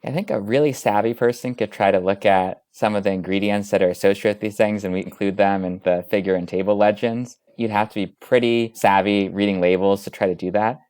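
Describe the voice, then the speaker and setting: A man's voice: on the low side, steady tone, fairly quick, few pauses, a little mellow, clean tone, no echo. A man, a physician researcher, explaining findings methodically as an interview guest.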